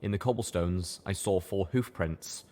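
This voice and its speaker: A man narrating a first-person fantasy audiobook in a steady storytelling manner. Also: low-pitched, moderate pace.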